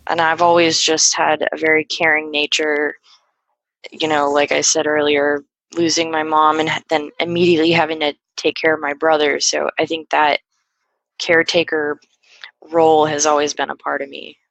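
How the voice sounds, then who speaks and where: A woman's voice: deep voice, flat delivery, normal speed, vocal fry, fairly bright tone, no echo, poor audio. A young woman guest on a podcast, talking casually and hesitantly with lots of fillers and restarts. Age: young adult. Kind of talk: casual conversational interview.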